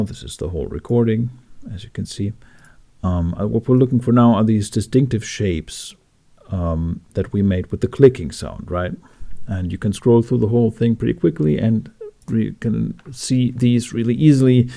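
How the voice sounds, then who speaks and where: A man narrating a how-to tutorial, explaining things step by step with deliberate phrasing and pauses. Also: lowish voice, gravelly, warm tone. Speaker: tutorial host. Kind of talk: instructional narration for a how-to video.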